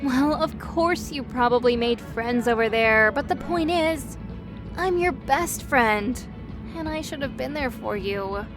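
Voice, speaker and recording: A woman acts as a young, caring best friend in an interactive audio drama. Her voice sits on the high side, chatty and playfully teasing, often hesitating and correcting herself. Music plays clearly behind her. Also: expressive, unhurried. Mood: playful, apologetic. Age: young adult. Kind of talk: interactive audio roleplay acting.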